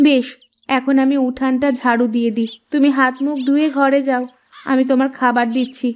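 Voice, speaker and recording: An actress playing a practical, cautious village housewife in a cartoon folktale. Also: female voice, sits high, little pitch movement, brisk, highs cut off, lightly acted. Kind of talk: Bengali cartoon folktale dialogue.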